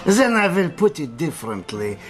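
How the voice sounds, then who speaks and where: An actor playing a small, sly German wartime agent with a German accent, speaking deliberately in an audio drama. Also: male voice, on the high side, big pitch swings, over music. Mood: probing, assured. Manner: deliberate, courting, peppers speech with German words.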